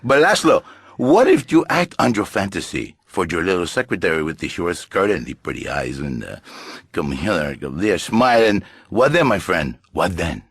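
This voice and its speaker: An actor playing a flamboyant, pompous Latin lothario with a Spanish accent and husky voice, theatrical and grandiose in broken English on satirical talk radio. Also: male voice, sits low, big pitch swings, moderate pace, dry recording, exaggerated voice. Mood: persuasive, sly. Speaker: radio talk show guest.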